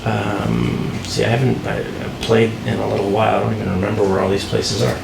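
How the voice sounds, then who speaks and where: A man's voice: on the low side, little pitch movement, fairly quick, gravelly. Guy doing a casual rambly how-to, gets sidetracked, roomy echo and background noise. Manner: rambling, easily distracted, self-interrupting asides. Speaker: board game designer presenting a tutorial. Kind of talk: informal unscripted tutorial.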